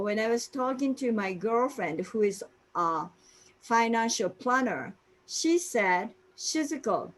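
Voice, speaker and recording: Japanese woman, 60s, slow earnest testimonial, a touch high-pitched, video call. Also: low-quality recording. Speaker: guest speaker sharing her story.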